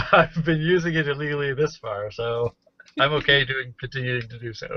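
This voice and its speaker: A man's voice: mid-range voice, steady tone, moderate pace, no echo. A man chatting casually and wryly as a podcast co-host, telling anecdotes. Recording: dull, lo-fi captured call audio.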